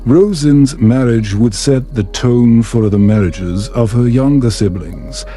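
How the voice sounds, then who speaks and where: A man reads a bedtime tale at an unhurried pace, his tone soft-edged and mellow. The delivery is calm, soothing and measured, like scripted storytelling meant for sleep, with music playing underneath. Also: medium pitch. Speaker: storyteller narrator.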